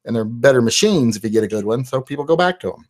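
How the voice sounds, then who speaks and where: A man's voice: medium pitch, animated, fast, a little mellow. Casual middle-aged man chatting with viewers. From West Virginia. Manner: rambling and conversational, with frequent "uh" fillers. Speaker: host of a live-stream Q&A. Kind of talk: casual live-stream chat reading viewer questions. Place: small home studio, indoors. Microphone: large studio mic on a boom, headphones.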